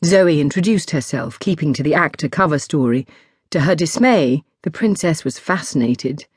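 A woman's voice: low-pitched, lively intonation, moderate pace, breathy edge, no echo, studio quality. A woman reading a scripted story as an audiobook narrator.

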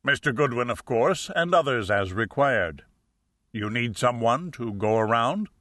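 A male narrator plays a hefty, imperious private detective from a mystery audiobook. He talks in blunt, formal, clipped pronouncements, with a bright edge, recorded dry. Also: medium pitch, expressive, measured pace, no echo. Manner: formal, curt, grunting and muttering.

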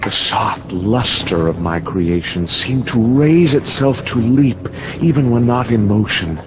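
A man's voice: lowish voice, unhurried, lightly acted. An actor playing a privileged young dreamer who tells his story in intense, ornate radio drama narration. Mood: brooding, yearning. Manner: ornate, intense, poetic delivery. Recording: dull lo-fi audio under loud music.

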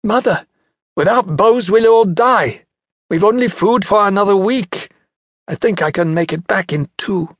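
A man's voice: high voice, unhurried, dry recording, clean studio sound, voice pushed up. A man voicing a desperate young hunter who pleads urgently.

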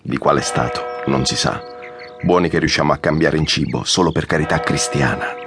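Very deep-voiced, grave colonel. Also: male voice, music in the background. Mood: grave, disillusioned. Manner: measured, sombre, respectful. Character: loyal, grave, war-worn colonel and aide. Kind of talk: Italian audio drama acting.

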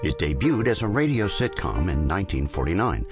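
A man's voice: deep voice, big pitch swings, a little fast, loud music behind the voice. A man narrating a scripted documentary introduction as the presenter of an archive programme.

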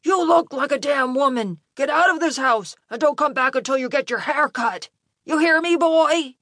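A woman voicing a drunken, abusive father, shouting belligerently at his son in an audiobook story. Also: sits high, moderate pace, dry recording, studio quality, pitched above the performer's own voice. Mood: belligerent anger. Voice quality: shouting, forceful.

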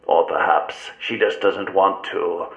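Echoing, sinister elderly mastermind. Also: male voice, low-pitched, fairly even intonation, takes it slowly, vocal fry, dull audio, heavily acted. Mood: silky menace. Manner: mock-courteous, ornate, menacing. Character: sinister elderly criminal mastermind, urbane, theatrically cruel. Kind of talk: radio drama acting.

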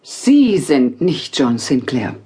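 An actress playing an alarmed, stubborn secretary in a German radio play, dramatic and halting. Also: female voice, extremely low pitch, big pitch swings, dark tone, breathy edge, heavily acted. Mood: suspicious, indignant. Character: alarmed, stubborn secretary troubled by nightmarish visions.

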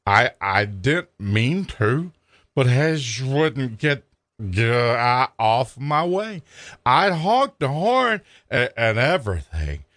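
Brief: a man doing a whiny, sloppy drunk guy, slurred and stammering, dragging his words slowly. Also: medium pitch, sing-song, a bit bright, no room sound. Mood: blubbering.

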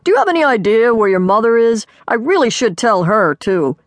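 A woman voicing a proud, blithely calm middle-aged father in an audiobook, in a round, deliberate manner. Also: a little high, dry recording. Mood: blithely dismissive.

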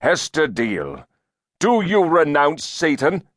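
A man voicing a demon in the body of a stern Puritan elder, loudly and pompously denouncing as commander and accuser. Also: a little high, huge pitch swings, dry recording, clean studio sound, voice pushed up, full character voice. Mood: stern, accusing. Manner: loud, slow, pompous denunciation.

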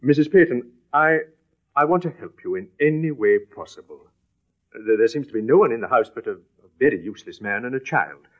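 An actor playing a kindly, grave doctor in a radio drama, speaking in a solemn, halting, formal way. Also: male voice, mid-range voice, animated, lightly acted. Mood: grave, sympathetic. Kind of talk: early radio drama acting. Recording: tinny, band-limited old radio recording.

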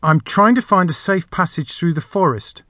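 A man's voice: sits high, animated, moderate pace, sharp tone, no echo, studio quality, voice pushed up. A man voicing a nervous, bewildered male adventurer in a storybook, hesitant in manner. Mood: nervous, spluttering.

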